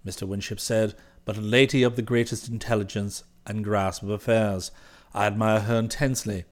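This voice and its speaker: Man voicing a very low, unflappable English valet. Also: little pitch movement, close to an everyday voice. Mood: calm, deferential. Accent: upper-class British English. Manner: formal, measured, discreet, precise wording. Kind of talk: audiobook character voice.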